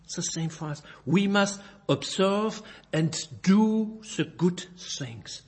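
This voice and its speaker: Slow, husky Belgian French preacher. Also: male voice, high voice, a little mellow. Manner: halting, searching for English words, stumbling and correcting himself. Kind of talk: informal Bible sermon with scripture reading.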